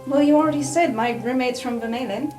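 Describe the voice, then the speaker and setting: A woman's voice: high voice, fast talker, warm tone, lightly acted. A woman voicing an inquisitive, bookish magic-university student in an improvised roleplay podcast, probing precisely with slight hesitation. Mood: careful, defensive. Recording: roomy sound with faint music.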